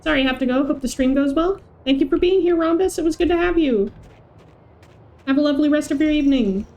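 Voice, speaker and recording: A woman's voice: high-pitched, fast, a little mellow. A woman streamer chatting casually and playfully over live gameplay, chirpy and whimsical. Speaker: game streamer.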